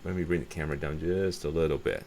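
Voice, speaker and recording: A man with a low-pitched voice presents a casual hobby repair video. He thinks aloud and mutters to himself as he handles the parts. The camera microphone picks up noticeable background noise. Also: fast, darkish tone. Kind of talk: casual hands-on show-and-tell, unscripted. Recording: clear background noise and ambience.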